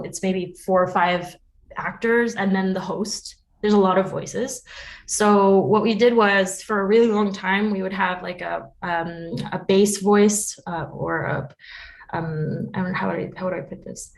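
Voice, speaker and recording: A woman talking casually as an interview guest about her work as a producer, chatty and quick to laugh. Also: mid-range voice, steady tone, creaky, rough recording. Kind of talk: casual, animated interview chat.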